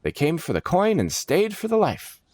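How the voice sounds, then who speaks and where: A man's voice: fairly high pitch, wildly swooping pitch, normal speed, darkish tone, dry recording, clean studio sound, pitched above the performer's own voice. A man voicing an eager, proud male adventurer who explains things earnestly, as storybook character dialogue. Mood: enthusiastic.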